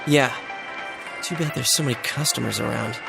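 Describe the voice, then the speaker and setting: An American man voicing an earnest, excitable teenage schoolboy in a video game, talking in a casual, expressive way. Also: medium pitch, normal speed, vocal fry. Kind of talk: English video game voice acting. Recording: background music and crowd chatter behind.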